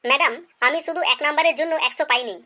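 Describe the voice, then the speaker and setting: Someone doing a cheeky school kid boy from a comedy cartoon, high bright voice, pleading and making excuses. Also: very fast. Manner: pleading, deferential yet cheeky. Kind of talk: animated comedy skit dialogue.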